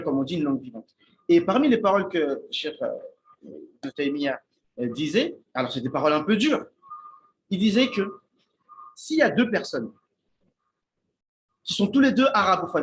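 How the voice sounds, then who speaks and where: A man teaches informally while seated at a shared meal, his voice sitting a touch higher than most men's. He thinks aloud, stumbles and restarts words, and keeps checking that his listeners follow him. A faint hiss runs underneath. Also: low-quality recording. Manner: checks in with listeners, asks "d'accord?". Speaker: Islamic teacher giving a talk on Arabic. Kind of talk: informal spoken religious lesson. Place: indoors at a shared meal with friends.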